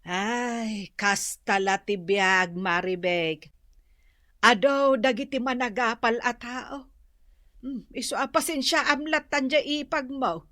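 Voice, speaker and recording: A woman plays a patient Ilocano mother in a radio drama, giving moral advice. She talks slowly in a fairly high voice with an Ilocano accent. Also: sharp tone, no echo, studio quality. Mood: patient, resigned.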